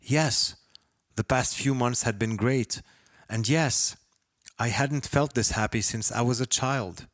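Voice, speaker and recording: A man from Lebanon reading his own short story as a first-person narrator, with expressive, dramatic delivery. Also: mid-range voice, takes it slowly, no echo, clean studio sound.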